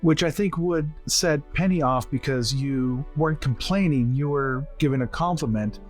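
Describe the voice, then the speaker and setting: A man's voice: a little high, moderate pace. A man talking casually as a player in a tabletop game. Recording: dry close recording, music behind.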